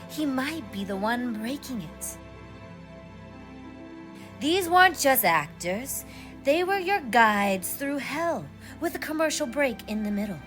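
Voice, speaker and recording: A woman hosting a horror radio show with scripted, theatrical, measured commentary. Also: a little high, fairly bright tone. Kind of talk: scripted spooky host commentary.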